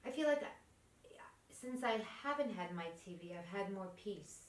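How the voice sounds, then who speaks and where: A woman's voice: mid-range voice, animated, moderate pace, echoey room, recorded from a distance. A woman with a New York accent, a guest on a podcast, talking bluntly and teasing in casual chat. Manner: blunt, teasing, matter-of-fact. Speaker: podcast guest, energy healer.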